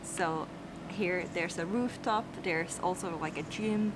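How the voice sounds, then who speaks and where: A woman's voice: on the low side, takes it slowly. A young woman from Finland chatting casually in a travel vlog, bubbly and full of "like" fillers, talking to a camera held at arm's length outdoors. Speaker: travel vlogger. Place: rooftop terrace outdoors, later busy street. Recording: outdoor traffic noise behind. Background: traffic and street ambience.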